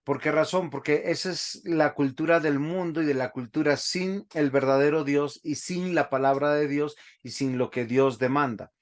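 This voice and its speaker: A man, a pastor teaching a Bible class over a video call, speaking slowly and stopping often to ask the class questions. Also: a little high, fairly even intonation. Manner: slow, halting, asks the class questions often.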